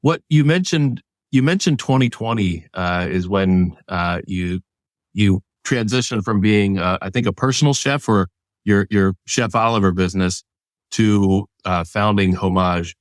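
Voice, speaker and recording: A man's voice: lowish voice, measured pace, no echo. A man hosting an interview, asking friendly follow-up questions. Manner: encouraging, asks follow-up questions. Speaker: host. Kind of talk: casual interview talk.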